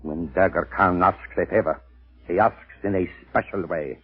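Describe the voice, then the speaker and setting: A lordly, scheming Indian mystic in a radio play. His voice is very low and heavily husky, and he declaims with total self-assurance through a thin, narrow old radio sound. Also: normal speed. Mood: coldly assured. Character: imperious Indian dancer-mystic plotting his brother's escape. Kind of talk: 1940s radio drama acting. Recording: muffled old radio, phone-like band.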